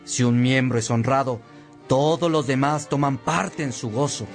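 An actor playing a devout monk of ancient Roman times in a radio drama, speaking in a measured, declaiming way. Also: male voice, medium pitch, soft background music, close to an everyday voice. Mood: solemn, reverent. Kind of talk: Spanish-language radio drama, solemn scripture reading.

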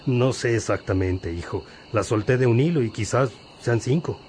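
An actor playing a wise, patient priest and teacher in a religious radio drama, gently questioning and instructing. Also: male voice, medium pitch, normal speed, slight background noise, close to an everyday voice. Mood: playful, probing. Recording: music behind the voice.

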